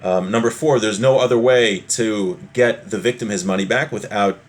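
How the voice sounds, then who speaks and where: A man's voice: lowish voice, normal speed. A man giving an informal religious law lesson, reading from a text and explaining it with everyday hypothetical examples. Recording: noticeable background noise.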